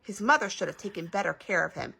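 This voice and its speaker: A woman reading a children's bedtime story aloud in an expressive, animated way, drawing out some words. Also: mid-range voice, fast talker, creaky, husky.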